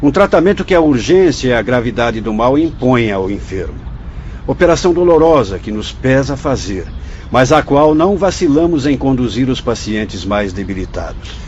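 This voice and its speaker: A Brazilian man acting in a radio drama as a solemn, fatherly spirit doctor who guides visitors through a hospital, speaking formally and didactically in measured phrases. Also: medium pitch, very animated, darkish tone, close to an everyday voice. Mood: grave, instructive. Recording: dull lo-fi audio, faint noise.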